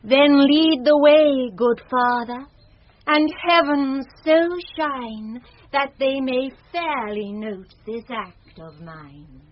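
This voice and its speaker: Lovestruck noblewoman, slightly high voice, formal and earnest, radio drama verse. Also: slow. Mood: exalted. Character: noble lady in love, urgent, imperious bride-to-be. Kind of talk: 1970s BBC radio Shakespeare acting. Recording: muffled 1970s radio recording.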